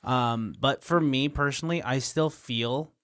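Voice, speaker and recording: A man's voice: mid-range voice, fairly bright tone. A man in his thirties hosting a podcast in easygoing, joking casual chat. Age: 30s. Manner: easygoing, joking, quick to laugh. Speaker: podcast host.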